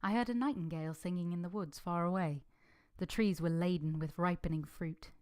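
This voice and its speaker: A woman's voice: fairly low pitch, brisk, darkish tone, close to an everyday voice. A woman playing an earnest, humble but passionate young governess in formal literary language, in a vintage-style radio drama. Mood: wistful narrating.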